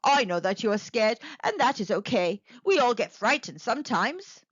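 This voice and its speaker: A kind male owl from a children's storybook, played by a woman reading aloud, reassuring and encouraging. The delivery is lively and melodic, with a dry, slightly muffled recording. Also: fairly high pitch, moderate pace, breathy edge, no room sound. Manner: encouraging, explanatory.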